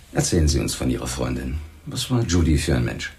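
An actor playing a calm, probing police lieutenant in a crime radio drama, questioning in a measured, matter-of-fact way. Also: male voice, extremely low pitch, gravelly, slight background noise, full character voice.

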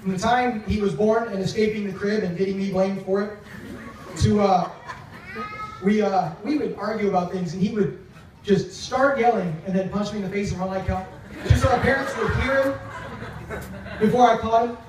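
Best man, young adult male, high-pitched voice, teasing storyteller with halting pauses, heard from a distance in a very echoey tent. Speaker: best man, brother of the groom. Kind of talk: wedding toast, humorous storytelling. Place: large wedding reception tent. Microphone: handheld microphone over speakers, filmed from a few metres away. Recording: echoey, distant, noisy event recording.

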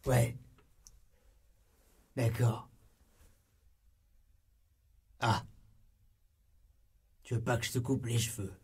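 Shady, cheeky young ex-con barber played by a man for comedy ASMR; very husky, soft delivery, unhurried and slangy. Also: medium pitch, steady tone. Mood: awkward, casual, then mock-menacing, teasing. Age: young adult. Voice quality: breathy, soft-spoken, often whispering. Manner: slangy, hesitant, casual. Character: shady ex-con rookie barber, laid-back, cheeky streetwise male dealer. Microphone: close binaural microphone.

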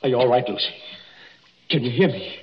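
An actor playing a frantic, determined young husband in a 1940s radio drama, urgent and commanding. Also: male voice, medium pitch, fairly quick, husky. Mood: anxious relieved. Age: young adult. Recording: dull, noisy old radio broadcast.

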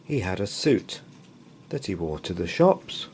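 Slow, expressive low-voiced man reading a story. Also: slight background noise. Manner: expressive, dramatic storytelling with drawn-out pauses. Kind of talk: read-aloud children's bedtime story. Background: open fire ambience.

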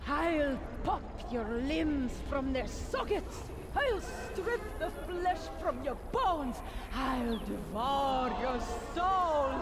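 A menacing game villainess who thinks herself a goddess. She draws out her words slowly with haughty theatrical relish, over clearly audible background noise. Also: sits high, heavily acted. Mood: unhinged fury. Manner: theatrical, drawn-out, haughty delivery. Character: menacing, grandiose villainous woman claiming to be a goddess. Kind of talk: video game voice acting.

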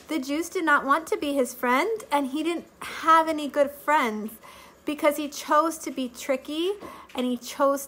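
Woman, thirties, children's Bible lesson, sing-song teacher voice, slightly stuffy, high and bright. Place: living room at home. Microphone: webcam or phone camera at close range.

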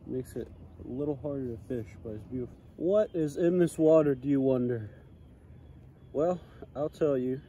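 A man's voice: medium pitch, moderate pace, mellow, rough recording. A young man chatting casually as he fishes from a kayak on a lake, talking aloud about his day, recorded on a chest-mounted action camera. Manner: laid-back, chatty, thinking aloud. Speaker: fishing vlogger. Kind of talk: casual outdoor vlog. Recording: faint wind and water noise.

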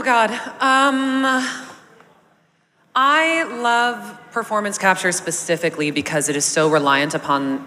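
A woman's voice: sits low, a bit bright. A woman talking casually as an actor on a convention panel, speaking into a handheld microphone on a large stage. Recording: echoey hall, some background noise.

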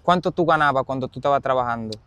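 A man conducting a casual interview outdoors, phrasing his questions in a halting, roundabout way. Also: mid-range voice, fairly bright tone, clear, resonant tone, no echo. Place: outdoors in an open park.

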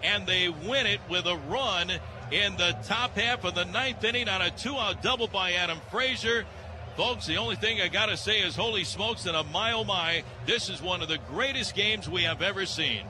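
An older man calling a baseball game live on radio, shouting excited play-by-play with pet catchphrases. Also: high voice, moderate pace, noisy background.

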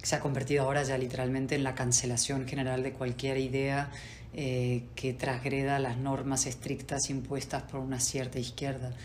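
A woman's voice: extremely low pitch, level tone, darkish tone, slight background noise. A woman in her forties, from Spain and raised in Buenos Aires and London, answering interview questions over a video call in an articulate, assertive way. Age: 40s. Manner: articulate, assertive, measured with pauses. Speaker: politician guest. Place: home study with bookshelves.

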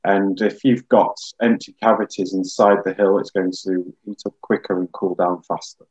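A man in his thirties giving measured explanations as a geologist guest on a webinar video call. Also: low-pitched, steady tone, darkish tone, husky, lo-fi sound. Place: small room, indoors, on a video call.

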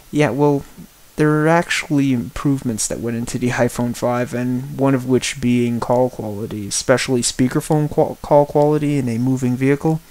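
Deliberate man giving an opinion review. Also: mid-range voice, darkish tone, low-quality recording. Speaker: product reviewer. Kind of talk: spoken personal-opinion tech review over a screen image.

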